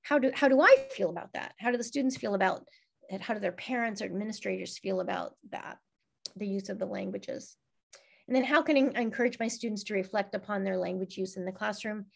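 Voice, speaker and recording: A woman's voice: medium pitch, lively intonation, fairly quick, creaky. A middle-aged woman giving an online teacher-training talk over a video call, conversational and encouraging, often checking in with "right?". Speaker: workshop presenter.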